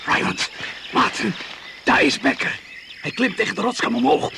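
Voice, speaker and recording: A creaky-voiced male doctor in a jungle adventure radio play. He is calm, firm and curt, giving words plainly over noisy cricket chirps. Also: very high-pitched, moderate pace, heavily acted. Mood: urgent, commanding. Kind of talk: radio drama acting. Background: crickets and insects.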